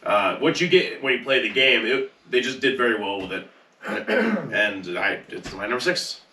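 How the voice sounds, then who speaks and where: Bright-voiced man in a roomy, distant recording. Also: medium pitch, very animated, moderate pace, a little hiss or hum. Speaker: podcast co-host. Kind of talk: casual group chat ranking movies. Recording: roomy echo, distant from mic.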